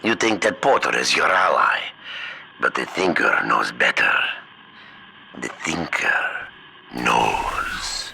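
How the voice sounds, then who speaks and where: A man's voice: a bass voice, sing-song, takes it slowly, vocal fry, pauses often, sharp tone, slight background noise, full character voice. An actor playing an unhinged, scheming game villain who speaks in a theatrical, menacing way, savoring his words. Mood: gloating, menacing. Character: unhinged scheming male villain, zealot of a thinking machine. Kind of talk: video game villain acting.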